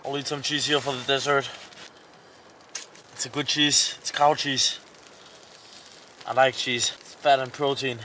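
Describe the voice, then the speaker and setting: Bright-voiced Danish-accented man, outdoor vlogger. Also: medium pitch, level tone, measured pace, pauses often, slight background noise. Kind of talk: casual chat narrating camping tasks to camera. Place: outdoors at a winter campsite.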